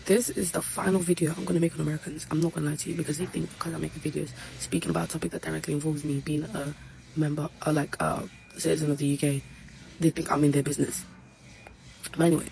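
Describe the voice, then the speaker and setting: A woman's voice: sits low, vocal fry, a little mellow, slight background noise, poor audio. A woman ranting to camera in a blunt, exasperated, scolding way. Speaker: social media commentator. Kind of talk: ranting direct-to-camera video.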